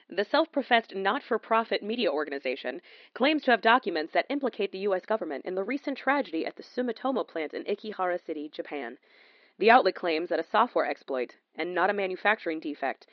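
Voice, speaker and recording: A woman's voice: fairly low pitch, no room sound, natural voice. A woman acting as a serious, composed female newscaster in an audio drama, reading the news formally. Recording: dull, band-limited, dry broadcast sound.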